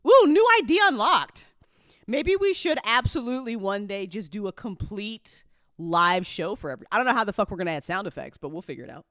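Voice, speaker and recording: Hyped up lady hosting a livestream, giggly n sweary, super clear voice, real dry close sound. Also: mid-range voice, fairly quick, no room sound. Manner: excitable, giggly, informal, swearing; hype-building, talks directly to chat. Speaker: host of a podcast listen party. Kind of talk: casual live-stream chat.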